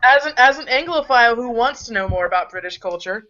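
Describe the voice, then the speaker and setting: A woman's voice: fairly high pitch, animated, fast talker, bright, forceful tone, dull audio. A woman from the American South in a casual group chat, curious and chatty.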